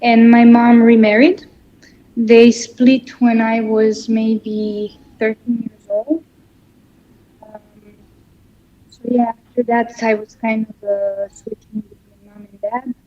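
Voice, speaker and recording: A woman in her thirties with a Serbian accent, a podcast guest telling her story haltingly with many fillers. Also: on the high side, flat delivery, lo-fi sound. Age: 30s. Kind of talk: podcast interview, personal story.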